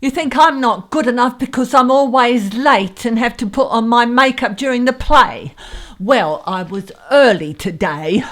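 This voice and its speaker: A woman's voice: a little high, lively intonation, measured pace, a bit bright. A woman playing a naive, lovelorn woman in a comic radio soap, with childlike, melodramatic pleading. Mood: indignant. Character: tearful, naive, lovelorn woman longing for marriage.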